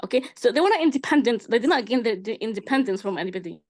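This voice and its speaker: A middle-aged woman with a Palestinian Arabic accent and a fairly high voice. She talks informally to a group, explaining with emphasis and often repeating herself or checking that listeners follow. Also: animated, very fast, a little mellow. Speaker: discussion participant. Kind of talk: informal personal testimony in a group discussion.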